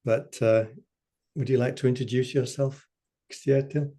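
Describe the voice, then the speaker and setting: Calm mellow-voiced man from England. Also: mid-range voice, unhurried. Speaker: guest speaker. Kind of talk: casual talk on a video call. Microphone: computer mic on a video call.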